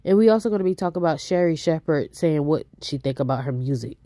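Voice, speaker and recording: Stuffy-nosed woman gossiping fast. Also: low-pitched, lively intonation, warm tone, no echo, studio quality. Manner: chatty, fast, reads out posts. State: stuffy nose, a bit out of breath. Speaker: YouTube host. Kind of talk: casual celebrity gossip commentary.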